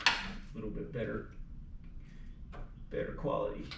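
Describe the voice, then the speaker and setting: A man's voice: lowish voice, very animated, a little slow, gravelly. A man talking casually and unscripted in a folksy way as he works on a car, half muttering to himself and half explaining to the camera. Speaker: DIY car repair vlogger. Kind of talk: casual unscripted talk while working. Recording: echoey, noisy, clicking, uneven mic distance.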